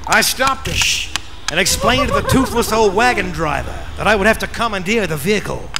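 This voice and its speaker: An Australian actor playing a wry, world-weary private detective, narrating a noir parody radio play in a deadpan, declamatory way. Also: male voice, high-pitched, brisk, creaky, very noisy, heavily acted. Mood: urgent. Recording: live stage radio play broadcast.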